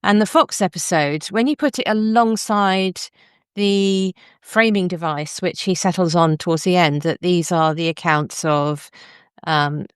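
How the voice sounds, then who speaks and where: A woman's voice: lowish voice, unhurried, clear, resonant tone, dry recording, studio quality. A woman hosting a literary discussion, speaking in an articulate, thoughtful, conversational way. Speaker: podcast host; novelist.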